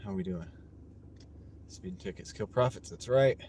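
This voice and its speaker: A man's voice: low voice, normal speed. A man chatting casually on a live stream while driving his delivery route, joking and rambling, laughing at his own jokes. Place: inside a moving car. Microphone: wireless clip-on mic. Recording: distant mic with loud road noise.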